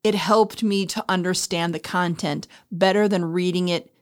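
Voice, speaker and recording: A woman's voice: lowish voice, steady tone, moderate pace. A woman talking casually and reflectively as a podcast host, explaining things through her own experience. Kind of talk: casual conversational talk.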